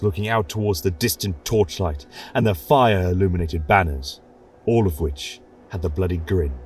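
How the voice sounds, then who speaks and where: A man giving measured, dramatic narration of a fantasy story. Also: sits low, a little mellow. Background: faint music.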